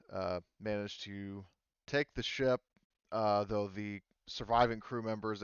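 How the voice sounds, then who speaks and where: A man speaking casually as a game master at a tabletop game, explaining things in a rambling, halting way. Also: deep voice, clean tone, no echo, dull audio.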